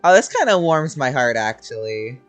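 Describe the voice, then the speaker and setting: A man's voice: medium pitch, big pitch swings, moderate pace, fairly bright tone, faint music behind. A man giving casual, gushing, sweary commentary as he plays through a game. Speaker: gaming streamer.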